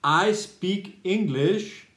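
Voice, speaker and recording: A male language teacher from Austria speaks at an extremely slow, deliberate pace and repeats every phrase for learners. His voice sits a little high for a man. It rings in a roomy, echoey space. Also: fairly bright tone. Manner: says each phrase twice, spells words out. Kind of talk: slow repeated beginner lesson drill. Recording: roomy echo.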